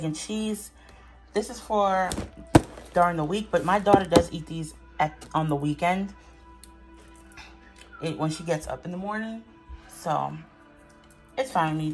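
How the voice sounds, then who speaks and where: A woman in her thirties chatting casually as she shows off her groceries at home, candid and unfiltered. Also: mid-range voice. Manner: chatty, candid, talks as she handles items off camera. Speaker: vlogger showing a grocery haul. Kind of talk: casual chat. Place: home, indoors. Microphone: phone camera held by the speaker.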